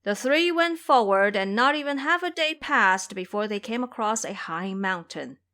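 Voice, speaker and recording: A woman's voice: mid-range voice, animated, normal speed, bright, forceful tone. A woman narrating a scripted fantasy tale as a dramatic storyteller.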